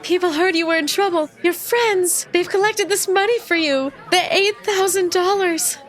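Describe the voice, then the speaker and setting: A woman playing a loving, excited young wife in an old-time style radio drama. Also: very high voice, normal speed.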